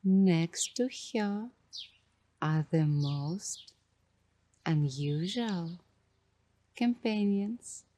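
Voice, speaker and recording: A woman hosting a guided coloring ASMR session in a soft, hushed voice, speaking dreamily in short, halting phrases. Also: on the low side, animated, a little hiss or hum. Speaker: ASMR host.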